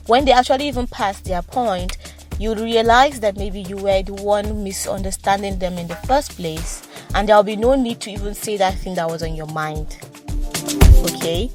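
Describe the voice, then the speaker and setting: A woman hosting her own advice podcast, with a voice of middling pitch and a slight stutter. She talks fast, often repeating words and starting sentences over. Clear music plays behind her throughout. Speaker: podcast host. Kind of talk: solo advice podcast talk.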